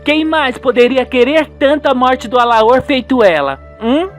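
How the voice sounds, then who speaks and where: A man's voice: very high-pitched, expressive, normal speed, soft background music, pitched above the performer's own voice. A man voicing an insistent, gossipy woman in a radio soap. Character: woman accusing and speculating about an attempted murder.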